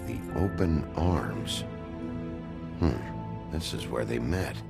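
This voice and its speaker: An actor playing a gruff, world-weary detective in a noir video game, gravelly, terse and deadpan. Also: male voice, rumbling low, takes it slowly, heavily acted. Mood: guarded. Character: gruff, hard-boiled male detective, world-weary and blunt. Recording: music under the voice.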